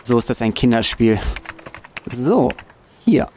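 A man playing a young hacker detective in a radio play, cocky and slangy. He speaks at a middle pitch over noticeable ambient noise. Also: very animated, slow pace, darkish tone. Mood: self-satisfied, busy. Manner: smug, slangy. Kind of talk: audio-drama acting.

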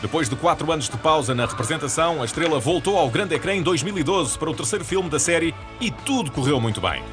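A man with a European Portuguese accent reading scripted narration for a TV channel promo in a smooth, even announcer delivery. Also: on the high side, quick pace. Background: music bed.

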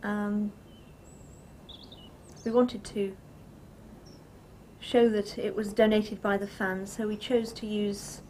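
Woman, mid pitch, informal explanation, faint noise behind. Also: little pitch movement, takes it slowly, pauses often, darkish tone. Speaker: event organiser. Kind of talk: informal spoken account.

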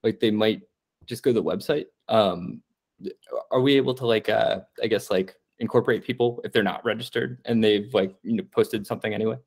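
A young man hosting a casual community call over a video call, relaxed and filler-heavy. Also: on the low side, a little fast. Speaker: meeting host. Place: room at home.

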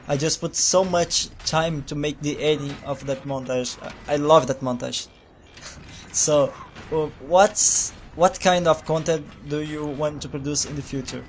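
A man's voice: a little high, slight background noise. A young man with a Brazilian Portuguese accent introducing himself in casual, hesitant English, with frequent laughs and repeated words. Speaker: video producer. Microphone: voice-over over gameplay.

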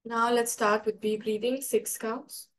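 Casting: young Indian-accented female student, slightly high pitch, very level delivery, leading guided exercises. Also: steady tone, a little slow, fairly bright tone. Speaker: student leading yoga and meditation. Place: bedroom at home. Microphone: video call, device a little away.

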